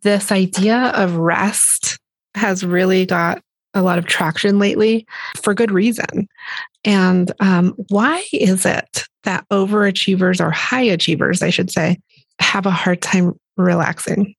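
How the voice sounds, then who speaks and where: A woman's voice: mid-range voice, fairly even intonation, unhurried, gravelly, slightly rough, dry recording. Enthusiastic woman podcast host. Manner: enthusiastic, affirming. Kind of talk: podcast interview.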